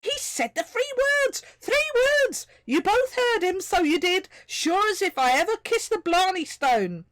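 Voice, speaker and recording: A woman voicing a tiny, excitable male magical being, blurting and gushing. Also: on the high side, takes it slowly, hardly any pauses, dry recording, pitched above the performer's own voice. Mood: ecstatic.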